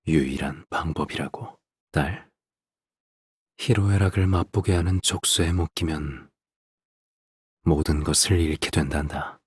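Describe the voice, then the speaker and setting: Game role: an eerily calm, possessive father; low, very breathy husky voice, lingering pauses. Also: dry recording, clean studio sound, full character voice. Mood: somber, possessive. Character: quiet, possessive, hollow-hearted father of a daughter. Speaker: game character voice. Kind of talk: dramatic game voice acting, slow monologue.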